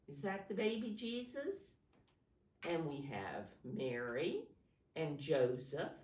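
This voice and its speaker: A woman with a low voice reads a story to small children as their teacher. Her pitch swings expressively and she takes her time, explaining simply and putting questions to the listeners. The sound is roomy and set back from the microphone. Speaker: preschool teacher reading a picture book aloud. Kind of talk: storytime read-aloud for young children. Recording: roomy, echoey sound, distant from mic.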